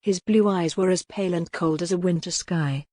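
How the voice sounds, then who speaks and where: A woman narrates an audiobook in a fairly low voice, recorded dry and close. Her reading is scripted historical romance, told with expressive, dramatic storytelling. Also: normal speed, no echo.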